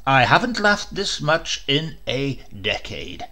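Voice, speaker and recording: Man playing a booming, teasing knight prince. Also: big pitch swings, takes it slowly, bright, forceful tone, full character voice. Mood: jovial. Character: jovial mocking armoured knight prince, man. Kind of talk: comic storybook reading.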